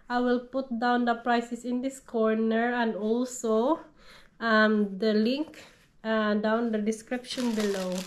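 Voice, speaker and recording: A woman's voice: a little high, slight background noise. A woman in her thirties with a cold, gushing over items she unboxes in her living room. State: has a cold. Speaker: haul vlogger. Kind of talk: casual product haul. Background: crinkling packaging.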